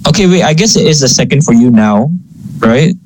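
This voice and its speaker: A man's voice: mid-range voice, animated, a little fast, darkish tone, slight background noise, low-quality recording. A young man co-hosting a casual podcast chat, rambling and hesitant with plenty of fillers. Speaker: podcast co-host.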